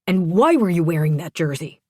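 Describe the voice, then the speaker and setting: A woman's voice: low voice, measured pace, creaky, mellow, slightly rough, no echo, clean studio sound, full character voice. Woman acting a stern, agitated, protective father.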